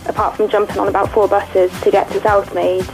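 A woman's voice: mid-range voice, level tone, a little fast, faint music behind, low-quality recording. A woman calling in to a radio show, speaking gratefully and emotionally. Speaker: caller.

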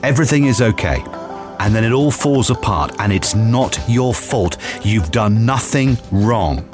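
Lively low-voiced male radio host. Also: measured pace, music in the background. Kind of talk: chatty phone-in presenting.

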